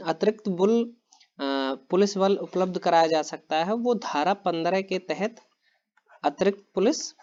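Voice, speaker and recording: Man clearing his throat between quiz answers. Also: sits high, lo-fi sound. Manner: steady and plain, repeats each answer, frequent throat clearing. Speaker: exam-prep teacher. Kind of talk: reading quiz questions and answers aloud.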